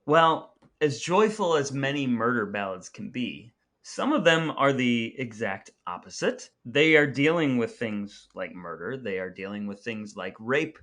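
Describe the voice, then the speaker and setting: A man's voice: mid-range voice, animated, a little slow, fairly bright tone. Explanatory man co-hosting a podcast. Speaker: podcast co-host. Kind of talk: conversational music history discussion.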